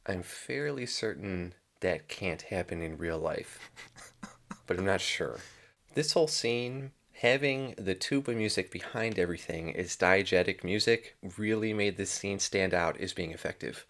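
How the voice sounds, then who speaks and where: A man's voice: sits low, unhurried, a bit bright. A man co-hosting a casual TV episode review, chatting in a wry way. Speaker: reviewer, co-host.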